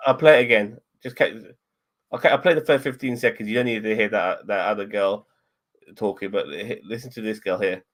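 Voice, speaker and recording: Low-voiced host, relaxed. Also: male voice. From London. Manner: relaxed, halting, many restarts. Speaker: podcast host. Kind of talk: casual chat.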